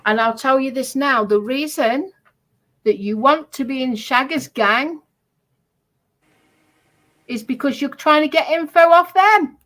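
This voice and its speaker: A woman's voice: sits high, unhurried, long pauses, a bit bright. A woman live streamer delivering a confrontational monologue in an accusatory, emphatic, repetitive manner.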